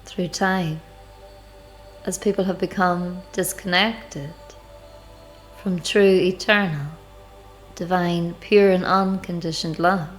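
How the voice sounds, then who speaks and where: Woman, lowish pitch, very slow pace, devotional meditation guide, deliberate pauses. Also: steady tone, rough recording. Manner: measured, deliberate phrasing with pauses. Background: background music.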